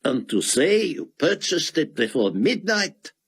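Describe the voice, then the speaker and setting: A man's voice: fairly high pitch, sing-song, husky, voice pushed up, full character voice. Suspicious young officer, heavy accent, raised clipped voice, put on by a male narrator. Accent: heavy foreign accent. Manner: stilted, slow, commanding phrasing.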